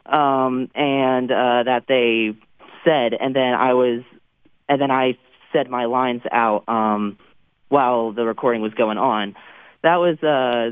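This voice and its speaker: Teenage American boy, halting, on tinny phone. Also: medium pitch, clear, resonant tone, no room sound. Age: teens. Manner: hesitant, halting. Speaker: student actor, interview guest. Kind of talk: casual phone interview. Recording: thin, tinny phone line.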